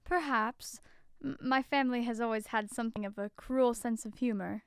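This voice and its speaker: A woman acting in an audio drama as a gentle, helpful fairy noblewoman with a polite, formal manner. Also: a little high, moderate pace, smooth, dry recording, clean studio sound, lightly acted. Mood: calm, helpful.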